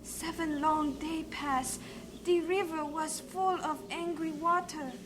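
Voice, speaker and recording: Casting: humble Chinese servant, very slow delivery, formal broken English, vintage radio drama with clear background noise. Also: female voice, sits high, steady tone. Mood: anxious, deferential. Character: deferential Chinese servant woman bearing bad news. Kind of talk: old-time radio drama acting. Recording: noisy old radio drama recording.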